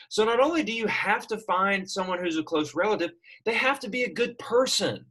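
Animated, slightly husky male Bible teacher. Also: high voice. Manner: animated, asks students questions. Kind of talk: classroom Bible lesson.

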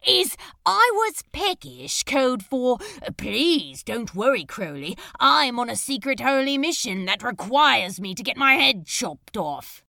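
A woman's voice: fairly high pitch, very animated, vocal fry, pushed and bright, dry recording, heavily acted. A woman playing a sardonic, secretly tender male demon in an audio fan fiction drama, drawling and teasing. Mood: sarcastic, goading. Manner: drawling, teasing, drawn-out enunciation.

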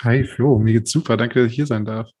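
A man who is a guest on a podcast, calmly explaining his work as an IT freelancer. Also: mid-range voice, a little fast, husky. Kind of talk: casual interview.

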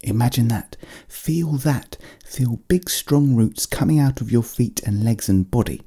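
A man's voice: medium pitch, unhurried, warm tone, husky, voice pushed up. A man voicing a small, friendly magical teddy bear in a children's story, speaking politely and soothingly.

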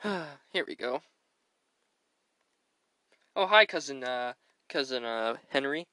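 A woman's voice: very deep voice, expressive, slow pace, sharp tone, no echo, voice pushed down, heavily acted. A woman doing a mumbling, awkward teen boy. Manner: hesitant, mumbling. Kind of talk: homemade cartoon voice acting.

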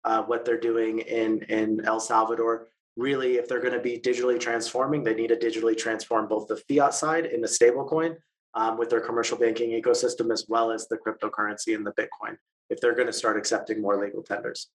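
A man's voice: medium pitch, level tone. A man answering interview questions, explaining at length with frequent fillers, false starts and repeated words. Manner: halting, many fillers and restarts. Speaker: interview guest, stablecoin expert.

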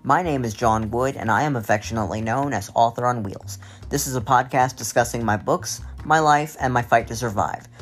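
A man's voice: medium pitch, fairly quick, fairly bright tone, soft background music. A man hosting a podcast, reading a formal introduction and then asking interview questions. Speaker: podcast host.

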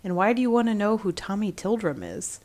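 A woman's voice: mid-range voice, big pitch swings, fairly quick, a little mellow, pitched above the performer's own voice. A woman doing an impatient sexton's wife. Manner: urging, impatient.

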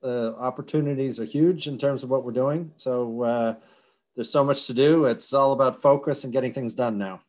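A man's voice: medium pitch, steady tone, normal speed, a little mellow. A middle-aged man raised in Europe, a company founder talking energetically in long run-on explanations during an interview. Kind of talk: conversational interview.

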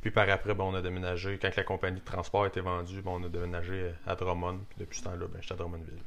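A man in his twenties with a Québécois accent, a rodeo athlete telling his story in a relaxed, plain-spoken way as a guest. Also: deep voice, rapid-fire, creaky. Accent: Québécois French. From Quebec. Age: 20s. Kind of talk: casual interview chat.

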